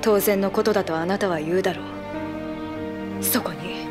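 A woman's voice: mid-range voice, normal speed, over music, close to an everyday voice. A woman voice actor playing a principled naval second-in-command, speaking formally and earnestly in a military audio drama. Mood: grave, reproachful.

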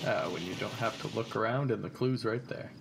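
A young man giving casual, halting, informal let's-play commentary as a gaming video host. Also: fairly low pitch, creaky, very noisy. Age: young adult. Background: game music.

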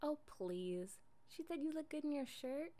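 A woman's voice: high voice, lively intonation, unhurried, warm tone. A young woman playing an affectionate, jealous girlfriend in an improvised ASMR roleplay, casual and coaxing in an intimate way. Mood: jealous, defensive.

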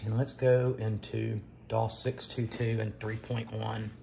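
A man's voice: lowish voice, little pitch movement, creaky, dark tone. Middle-aged man with noticeable background noise. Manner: halting, pausing mid-sentence. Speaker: retro computer vlogger. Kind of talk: casual unscripted show-and-tell. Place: dining room at home, indoors.

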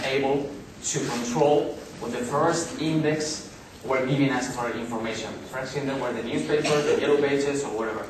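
A man's voice: mid-range voice, noisy background. Spanish-accented man speaking in an echoey hall. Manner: halting, many fillers and restarts. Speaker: conference speaker. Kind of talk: business presentation. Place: large hotel conference hall. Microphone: far camera in the audience. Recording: echoey hall recorded from far off.

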